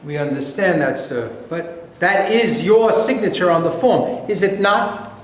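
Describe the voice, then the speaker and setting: Man playing high-voiced investigator, very echoey. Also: unhurried. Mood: firm, pressing. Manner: formal, insistent. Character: firm, formal male government war-crimes investigator. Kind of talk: staged reading of a play. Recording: very echoey room, light noise.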